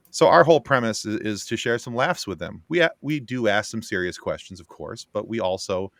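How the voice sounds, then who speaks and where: A man's voice: sits low, fairly bright tone, dry recording. A man who co-hosts a podcast, chatting casually in a joking, bantering way. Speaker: podcast co-host appearing as guest. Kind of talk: casual chat.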